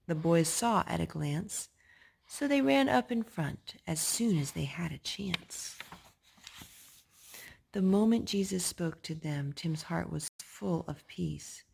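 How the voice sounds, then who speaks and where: A woman reads a rhyming picture-book story aloud at a slow pace. Her voice is husky with a creaky edge, and she phrases each line deliberately for young listeners. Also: fairly low pitch, expressive, a little mellow. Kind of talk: read-aloud children's story in rhyme.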